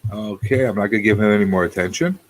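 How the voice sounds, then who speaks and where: A man's voice: fairly low pitch, quick pace, rough recording. A man in his forties hosting a casual live talk show, repeating phrases for emphasis as he talks off the cuff. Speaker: radio show host.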